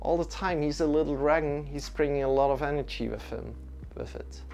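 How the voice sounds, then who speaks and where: A man's voice: on the high side, a little fast, vocal fry, a little mellow, faint music behind. A young man with a Swiss accent, hosting a live-streamed yoga class and greeting viewers in a loose, friendly way with frequent restarts. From Switzerland.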